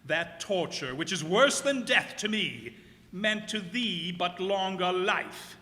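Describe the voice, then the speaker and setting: A bitter, centuries-old cursed captain acted by a man, with a very sharp, forceful voice. He declaims slowly and grandly, like old radio melodrama, with great swings of pitch. The hall echoes around him. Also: animated, full character voice. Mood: mocking. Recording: echoey hall stage performance.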